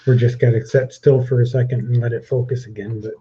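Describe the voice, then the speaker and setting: A man in his fifties talks in a flat, steady, mid-pitched voice on a casual livestream. He pauses and restarts with ums. The audio is dull and lo-fi. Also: background noise. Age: 50s. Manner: even, measured, restarts with ums. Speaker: co-host of a livestream. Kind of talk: casual question-game chat.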